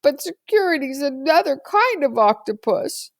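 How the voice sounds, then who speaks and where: Lady doing a small worried boy from a kids book, pleading sort of voice, pitched up. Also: sing-song, normal speed, dry recording. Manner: plaintive. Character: small worried boy attached to toy octopus.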